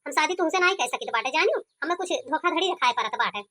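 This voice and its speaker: A woman's voice: sits high, animated, fast, pushed and bright. A woman voicing a complaining, stubborn young village groom in an Awadhi-accented cartoon comedy. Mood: indignant. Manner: complaining, argumentative.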